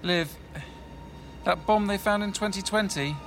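A woman's voice: mid-range voice, slight background noise, lightly acted. A woman playing an exasperated young woman. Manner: exasperated, quick. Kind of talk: audio drama acting.

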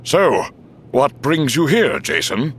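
A man acts out an older military chief in an audiobook. He is wary and formal, measured yet emphatic, his pitch raised well above a narrator's and swinging widely. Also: huge pitch swings, a bit bright, slight background noise, full character voice. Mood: guarded.

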